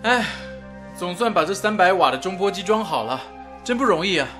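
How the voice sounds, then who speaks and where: Sincere patriotic radio engineer, wartime drama, high bright male voice, faint music. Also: animated, fairly quick. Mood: relieved. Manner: sincere, determined.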